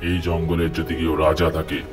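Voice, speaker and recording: A man's voice: a bass voice, completely flat delivery, a little fast, over music. A man voicing a proud, boastful lion who claims to be almighty king, declaiming challenges in a children's cartoon. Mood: defiant. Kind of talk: children's cartoon voice acting in Bengali fable.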